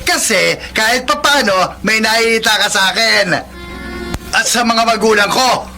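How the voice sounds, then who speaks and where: A cruel, domineering Filipino husband from a radio melodrama. His voice sits very high and drips with mocking contempt. Music plays clearly behind him. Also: normal speed, bright, forceful tone, exaggerated voice. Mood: scornful. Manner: sneering, scornful, mocking laughter in speech.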